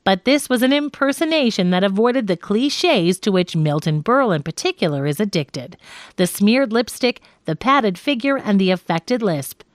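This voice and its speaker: A woman's voice: medium pitch, animated, normal speed, dry recording, studio quality. A woman reading a nonfiction audiobook as scripted narration.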